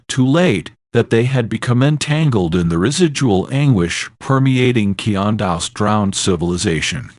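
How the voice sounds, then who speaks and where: Slow, deep-toned male horror narrator. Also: no echo, clean studio sound. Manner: ominous, dramatic delivery.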